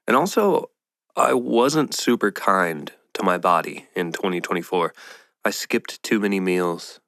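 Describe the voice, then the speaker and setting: A man with a low-pitched voice chats casually as a solo podcast host. He sounds nasal and stuffed up with a head cold, talking informally in a very dry, close recording. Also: fairly bright tone, no room sound. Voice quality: nasal, congested.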